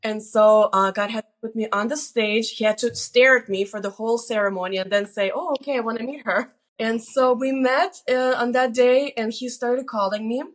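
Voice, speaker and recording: Middle-aged woman storyteller, slightly high voice. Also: normal speed, few pauses. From Lithuania, moved to the US as a teen. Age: 40s. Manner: animated, self-deprecating, quotes herself and others. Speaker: guest on her daughter's show. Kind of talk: casual personal storytelling.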